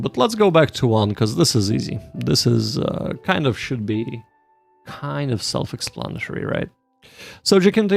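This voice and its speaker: A man with a gravelly, creaky edge to his voice hosts a casual study livestream. He talks straight to his viewers in a medium pitch, pausing and circling back over phrases as he explains. Soft music plays faintly behind him. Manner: halting, repeats himself, addresses viewers directly. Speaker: livestream host of a Japanese study session.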